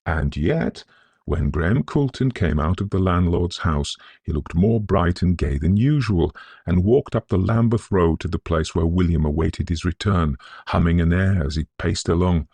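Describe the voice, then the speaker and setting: Male narrator for Victorian ghost tales: scholarly, measured, low and husky. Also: mellow, dry recording. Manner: scholarly, measured, theatrical storytelling. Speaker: audiobook narrator.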